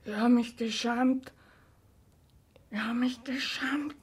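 A woman's voice: on the high side, fairly even intonation, takes it slowly, breathy edge, dry recording, heavily acted. An actress playing a desperate, shamed and exhausted young village woman in a German radio play, speaking in agitated, evasive fragments. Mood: ashamed. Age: young adult. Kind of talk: German radio play, naturalistic stage acting.

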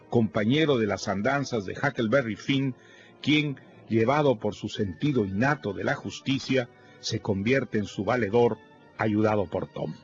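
A man reads a formal, measured literary introduction for a radio program. The sound is somewhat dull, with faint music underneath. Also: medium pitch.

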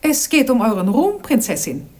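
A woman's voice: on the high side, big pitch swings, moderate pace, a little mellow. An actress playing a scheming, passionate lady-in-waiting in a German radio play, with an intense theatrical delivery. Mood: urging, insistent.

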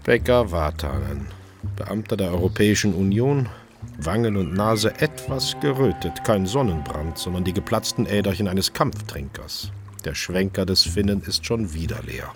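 A wry, observant chef tells his crime story in a radio drama. His voice is on the low side, with music clearly playing behind it. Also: lively intonation, normal speed. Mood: wry, reflective. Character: male restaurant chef narrating his own crime story. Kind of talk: German radio drama, first-person narration and dialogue.

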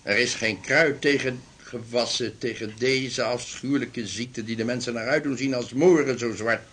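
Grave council chairman, male, mid-pitched, solemn declamation, formal period radio drama. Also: fairly even intonation, moderate pace, fairly bright tone, breathy edge.

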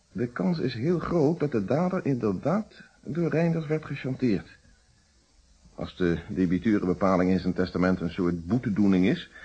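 An actor playing a calm, principled middle-aged police inspector in a Dutch radio detective play, speaking in formal, articulate explanations. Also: male voice, lowish voice, slightly rough. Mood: reflective. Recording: dull, muffled old radio recording.

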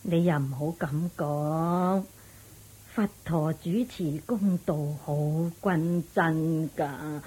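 A woman's voice: sits low, takes it slowly, a little mellow, husky, full character voice. An actress playing an ailing, insistent old mother in a Cantonese radio drama from Hong Kong, sighing and weary as she speaks. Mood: firm, weary. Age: older. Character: frail, ailing, insistent old mother putting affairs in order. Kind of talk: Buddhist radio drama acting.

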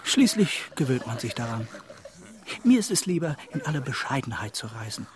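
A man's voice: mid-range voice, sing-song, normal speed, a crowd in the background, natural voice. A man playing a meek, apologetic young traveller in a radio play, timid, deferential and uncomplaining. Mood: resigned, modest. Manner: timid, deferential, swinging from confiding to stammering.

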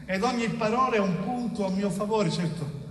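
Man acting anguished wronged man, high voice, incantatory stage delivery, distant echoing sound. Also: moderate pace, a little hiss or hum, close to an everyday voice. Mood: bitter. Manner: intense, incantatory, repeating phrases. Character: wronged middle-aged innocent man, anguished, bitter, weary. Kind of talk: dramatic theatre monologue. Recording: echoey theatre hall, distant mic, music.

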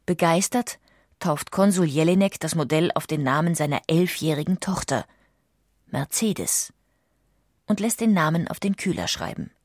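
Female narrator, slightly low and husky, measured documentary storytelling, dry close sound. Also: expressive, a little mellow, dry recording.

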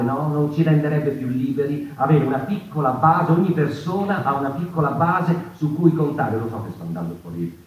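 An actor playing a rambling, impassioned storyteller in a conversational theatre monologue, digressing and seeking agreement. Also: male voice, medium pitch, close to an everyday voice. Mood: musing. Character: rambling, impassioned male storyteller of the mouse folk. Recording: echoey, distant, noisy live theatre recording.

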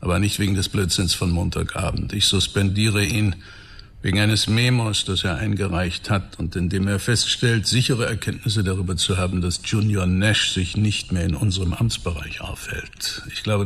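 A man's voice: deep voice, moderate pace, a bit bright. A man playing a stern police chief in a radio drama, commanding, clipped and sardonic. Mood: stern, cutting. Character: stern, cutting male police chief of detectives.

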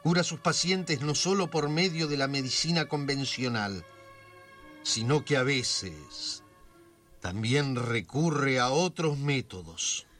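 A man's voice: mid-range voice, animated, slow, fairly bright tone. An ominous radio serial narrator, taking his time over every phrase. The delivery is theatrical and solemn, built for suspense, with music running underneath. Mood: sly, measured.

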